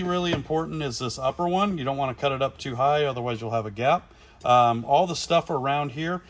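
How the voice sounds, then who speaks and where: A man's voice: mid-range voice, fairly bright tone. A man presenting a casual, hands-on installation demonstration in plain, informal language, recorded in a garage workshop. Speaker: product installation presenter for a radio company.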